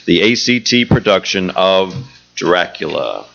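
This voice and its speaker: A man's voice: low-pitched, big pitch swings, measured pace, vocal fry, background noise. A man reading a scripted promotional announcement as a radio announcer, in a dull recording with music behind.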